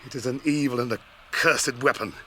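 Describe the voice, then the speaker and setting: An actor playing a grave, watchful ranger in a radio drama, with a British accent and solemn, measured phrasing. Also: male voice, medium pitch, sharp tone, a little hiss or hum, lightly acted. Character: grave, watchful ranger guiding hunted companions.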